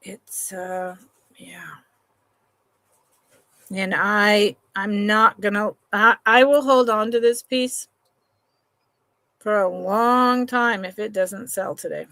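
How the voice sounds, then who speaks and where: Slow, relaxed woman hosting a live sale. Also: mid-range voice, no echo. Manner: chatty, unhurried, trails off and restarts sentences; affectionate to viewers, calls them honey and sweetheart. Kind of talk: slow, relaxed live-stream sales chat.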